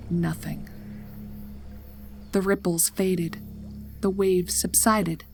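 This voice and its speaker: A woman narrating a horror story in a deliberate, suspenseful, dramatic style. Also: on the low side, slight background noise. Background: background music.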